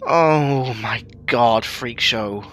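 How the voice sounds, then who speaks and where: A man's voice: mid-range voice, drawn-out words, fairly bright tone, faint music behind. A man voicing a determined, exasperated young woman who speaks urgently and scoldingly in an audio drama. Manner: urgent, scolding, sometimes breathless or hissing. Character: determined young woman, usually meek, now bold and exasperated.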